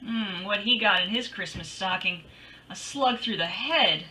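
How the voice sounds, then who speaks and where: A woman's voice: mid-range voice, unhurried, sharp tone. A woman playing a wisecracking, breezy female private detective in old-time radio style, with wry, slangy delivery. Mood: dry, wisecracking. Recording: distant microphone.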